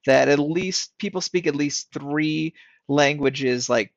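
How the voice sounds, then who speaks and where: A young man with a gravelly, fry-tinged voice talks hesitantly and stops to correct himself. His voice comes through a dull, lo-fi mic with a dry sound. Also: fairly high pitch, dry recording. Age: young adult. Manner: hesitant, halting, self-correcting. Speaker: podcast co-host. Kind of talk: casual chat.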